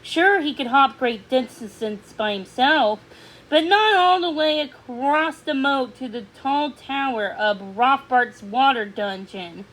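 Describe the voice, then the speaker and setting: High-voiced woman reading a storybook, unhurried. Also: a bit bright, rough recording. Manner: halting reader, stumbles over words. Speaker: storybook narrator. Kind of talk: read-aloud children's story narration.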